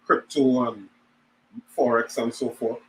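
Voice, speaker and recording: A man in his forties, a guest on an online talk show, speaking hesitantly with many pauses and restarts. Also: medium pitch, level tone, a bit bright, slight background noise, rough recording. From Trinidad and Tobago. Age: 40s. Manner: hesitant, lots of ums and restarts. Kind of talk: casual chat on a video call.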